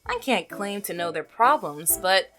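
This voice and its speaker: Woman reading as a cheery rambling milkman guy from a visual novel, lively up and down voice. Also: medium pitch, unhurried, voice pushed down, close to an everyday voice. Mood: upbeat chatter. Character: chatty friendly young male milkman. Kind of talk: reading game dialogue aloud.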